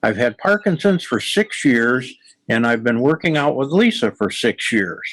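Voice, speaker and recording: A man's voice: mid-range voice, big pitch swings, normal speed, a bit bright, no room sound. A man in his seventies introducing himself to a group. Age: 70s. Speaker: group participant. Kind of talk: self-introduction.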